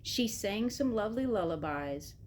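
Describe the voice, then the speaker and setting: A woman reading a children's storybook as expressive scripted narration, deliberately, pausing between short phrases. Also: medium pitch, a little hiss or hum. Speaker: storybook reader.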